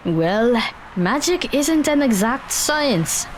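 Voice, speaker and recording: Lively, unhurried, wry teenage girl woman acting. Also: a little high, a little hiss or hum, low-quality recording. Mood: wry, explanatory. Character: wry, knowledgeable, practical teenage magical girl. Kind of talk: audio drama acting.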